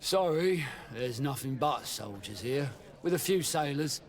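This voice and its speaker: A man's voice: mid-range voice, expressive, a little slow, natural voice. A man playing a chatty, sly ex-soldier tavern keeper in a video game, with a folksy manner. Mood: breezy. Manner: folksy, colloquial. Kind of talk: video game dialogue acting.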